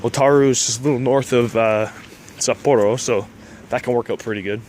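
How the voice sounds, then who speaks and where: A man's voice: mid-range voice, steady tone, a little fast, darkish tone. A young man from Canada talking casually while walking outdoors, rambling and laughing often. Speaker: vlogger. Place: city street outdoors. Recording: outdoor street noise. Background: street traffic.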